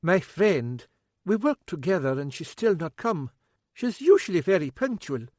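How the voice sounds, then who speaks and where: A man's voice: sits high, very animated, normal speed, a little mellow, dry recording, studio quality, pitched above the performer's own voice. A man voicing a timid older Scottish woman, a fretful cook, with a nervous, fussy manner and a Scottish accent. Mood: worried.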